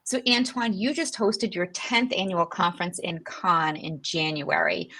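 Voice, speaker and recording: Female interviewer, low register, polished business presenter delivering prepared remarks. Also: expressive, measured pace, bright, forceful tone. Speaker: interviewer and publishing executive.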